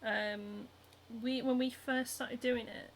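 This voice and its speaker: A woman's voice: fairly high pitch, steady tone, slow pace, a little hiss or hum. A young woman with a Northern English accent, hosting a casual livestream while she sews, reading out viewer comments and chatting with lots of giggles, ums and likes. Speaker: cosplayer and livestream host. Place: home, hand sewing while streaming.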